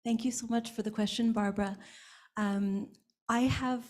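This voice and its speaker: A woman's voice: fairly high pitch, fairly even intonation, moderate pace. A woman panelist answering a question in a candid, reflective way. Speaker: symposium panelist.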